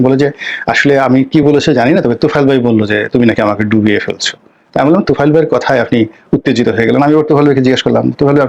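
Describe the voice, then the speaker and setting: A middle-aged man with a Bangladeshi accent gives unscripted political commentary and personal anecdotes, phrasing things deliberately with drawn-out pauses. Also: medium pitch, vocal fry. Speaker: political commentator, former parliamentarian.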